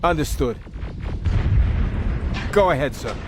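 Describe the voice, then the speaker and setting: An actor playing an anxious, dutiful soldier in a video game battle, deferential in a military way. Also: male voice, fairly high pitch, expressive, takes it slowly, noisy background. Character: anxious, dutiful male soldier under fire.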